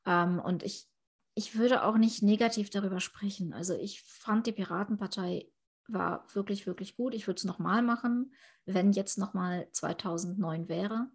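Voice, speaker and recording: A woman in her thirties from Ukraine, answering interview questions in an earnest, thoughtful way. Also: medium pitch. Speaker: interviewee, politician.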